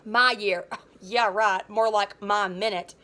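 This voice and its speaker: A woman's voice: mid-range voice, steady tone, slow pace, bright, forceful tone, pitched below the performer's own voice. A woman reading aloud as a sour, resentful middle-school boy, a dethroned bully, narrating a children's novel with sarcastic grumbling. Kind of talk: first-person children's novel read aloud.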